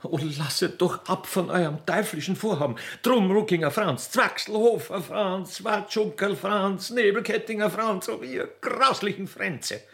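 A man's voice: high-pitched, measured pace, creaky, hardly any pauses, fairly bright tone, pitched above the performer's own voice, exaggerated voice. A man voicing a naive, impetuous young Austrian railwayman's daughter in a radio play, pleading in a melodramatic singsong. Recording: music under the voice.

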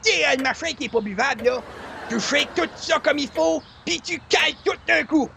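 A man's voice: extremely high pitch, a little fast, vocal fry, bright, forceful tone, a little hiss or hum, exaggerated voice. A man playing a spry, folksy old grandpa with a cracked voice and a colloquial Quebec French accent in a radio comedy. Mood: helpful, folksy. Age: older. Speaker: actor in an audio comedy.

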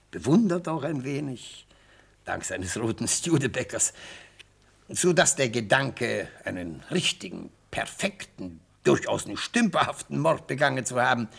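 An actor playing an elderly, theatrical defence lawyer in a radio play, speaking in rhetorical, declamatory phrases with savoured pauses and a husky voice. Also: male voice, mid-range voice, full character voice. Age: older. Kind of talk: radio play courtroom oratory. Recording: vintage radio play recording.

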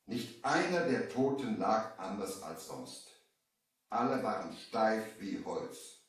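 A man's voice: lowish voice, expressive, slow pace. An actor playing a grave traveler who tells of his own ordeal, solemn and theatrical, in a radio play. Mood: grave, dazed. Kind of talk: dramatic storytelling in a radio play. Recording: echoey distant old vinyl record.

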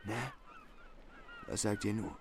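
A man's voice: fairly low pitch, animated, slow pace, a little mellow. A man in a German radio play acting a gossipy, superstitious villager who tells ghost tales in clipped colloquial phrases. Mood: eerie, excited. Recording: outdoor-sounding scene, honking geese, faint noise.

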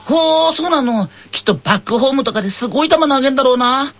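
Cheerful schoolboy athlete for anime radio, very lively swooping delivery, playful exclamations. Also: male voice, very high voice, faint music behind, full character voice. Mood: bouncy, playful. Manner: exuberant, playful, drawn-out exclamations. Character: bouncy, cheerful boy tennis player.

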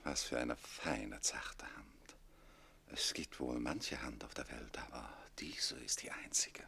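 A man playing an amorous aristocratic count in a radio drama, murmuring smoothly and seductively. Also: very deep voice, whispered, exaggerated voice. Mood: seductive, hushed. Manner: slow, hushed, seductive murmuring.

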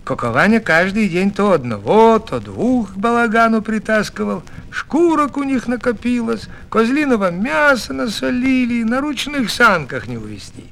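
A man tells a fairy tale in a folksy, theatrical style. His voice is fairly high and extremely varied in melody. The recording has slight noise behind it. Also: very animated, normal speed, vocal fry, few pauses, a bit bright. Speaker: storyteller. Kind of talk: expressive narration of a folk tale.

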